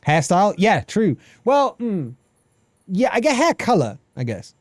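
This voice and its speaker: British man in his thirties, lively swooping voice, slightly higher pitch, dry close sound, casual swearing stream chat. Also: measured pace, dry recording. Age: 30s. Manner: animated, swearing, reads chat aloud and laughs often. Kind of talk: casual live-stream chat with game lore reading.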